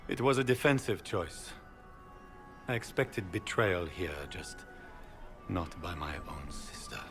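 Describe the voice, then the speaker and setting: A proud aristocratic schemer in a fantasy game. He talks slowly and haughtily, weighing each phrase, over soft music. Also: sits low, animated, heavily acted. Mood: defensive, bitter.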